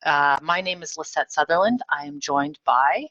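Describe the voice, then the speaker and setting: A woman's voice: low voice, moderate pace, a bit bright. A woman from Germany hosting a webinar over a video call, upbeat and conversational. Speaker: webinar host. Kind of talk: webinar presenting. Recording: slightly muffled video call audio.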